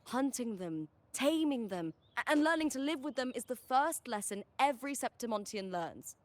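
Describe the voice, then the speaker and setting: Woman as lively, high-voiced young gladiator woman. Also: moderate pace, no room sound, natural voice. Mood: confident, explaining. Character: confident, spirited young woman gladiator, friendly battle partner. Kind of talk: video game voice acting.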